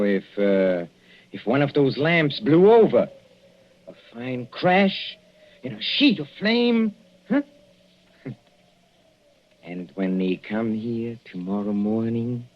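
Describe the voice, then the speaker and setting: An actor playing a gloating, murderous older professor in 1940s radio suspense style, mock-courteous and savoring every hesitation. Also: male voice, mid-range voice. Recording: muffled, dull old radio recording.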